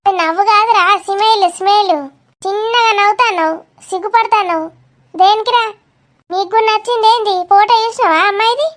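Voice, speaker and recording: Very high-pitched nosy village aunt. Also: female voice, a little fast. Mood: teasing, prying. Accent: Telangana Telugu. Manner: scolding, gossipy, blunt. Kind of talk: village comedy cartoon dialogue.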